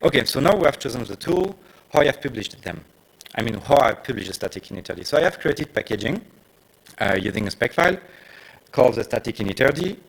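A man from France with a French accent, giving a technical conference presentation in hesitant, non-native phrasing with frequent pauses. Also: mid-range voice, sing-song, vocal fry, slightly rough, poor audio. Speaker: conference speaker.

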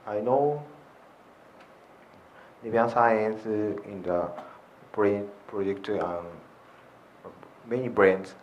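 A man introducing himself at a workshop, halting and groping for words. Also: lowish voice, dull audio, slight background noise. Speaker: participant.

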